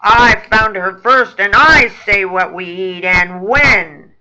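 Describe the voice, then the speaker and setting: A woman's voice: medium pitch, slow, pushed and bright, highs cut off. A woman voicing a big fat male rat in a children's story, a bossy leader who growls and snarls. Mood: snarling, commanding.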